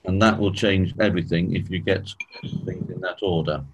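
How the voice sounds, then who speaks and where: A man with a croaky voice, clearing his throat often as he leads a church study session, slowing down on key points. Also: deep voice, steady tone, highs cut off, slight background noise. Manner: measured, slows down on key points. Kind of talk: spoken teaching talk.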